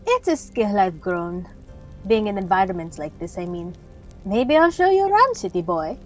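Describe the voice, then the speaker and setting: A woman with an animated, swinging pitch plays a tabletop fantasy game, drifting casually between narration and character. Music plays behind her. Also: normal speed. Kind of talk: casual in-character role-play.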